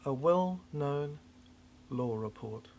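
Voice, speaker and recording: An older person delivering a rambling, cryptic monologue in halting fragments, repeating phrases for emphasis. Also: medium pitch, lively intonation, darkish tone, slight background noise.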